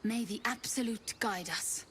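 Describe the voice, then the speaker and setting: A woman's voice: fairly high pitch, a little slow, fairly bright tone, slight background noise. A woman playing a devout, earnest cult follower in a fantasy video game, speaking solemnly and reverently. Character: devout, grieving young female cult follower. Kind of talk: dramatic video game acting.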